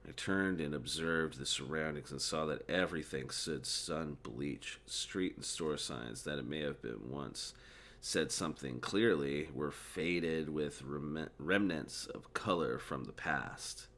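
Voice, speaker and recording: Deep-voiced tipsy man reading aloud. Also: measured pace, fairly bright tone. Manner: loose, tipsy, stumbling over words. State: drinking, mildly drunk. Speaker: streamer reading a book aloud. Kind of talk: informal book narration with casual commentary.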